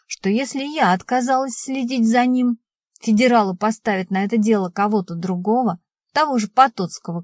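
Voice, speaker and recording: A female audiobook narrator reads first-person fiction from a script. Her voice sits at a medium pitch for a woman, in a very dry, close recording. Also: darkish tone, no room sound.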